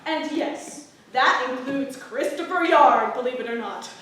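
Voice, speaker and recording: A woman's voice: sits high, unhurried. A woman playing a blunt, indignant townswoman who is awkwardly polite, in a staged reading of a period play. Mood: furious. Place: theatre stage, picked up from far off. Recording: very echoey, distant, faintly noisy theatre recording.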